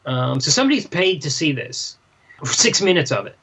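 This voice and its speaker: This is a podcast co-host with a mid-pitched voice in a casual discussion. The delivery is animated and conversational, thinking aloud while reviewing a comic. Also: moderate pace, slightly rough, poor audio.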